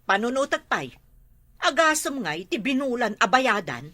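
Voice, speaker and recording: A woman acts a harried Ilocano housewife in a comedy drama. Her voice is high and bright, and it swings widely as she grumbles about her chores. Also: sing-song, moderate pace, exaggerated voice. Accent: Ilocano Filipino. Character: busy, put-upon housewife and mother, grumbling about chores.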